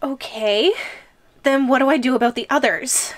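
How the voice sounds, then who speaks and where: A woman's voice: high-pitched, moderate pace, close to an everyday voice. A woman playing an obsessive, lovestruck yandere giantess schoolgirl in an audio roleplay, with a hesitant, stammering, giggly delivery. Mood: possessive, uneasy.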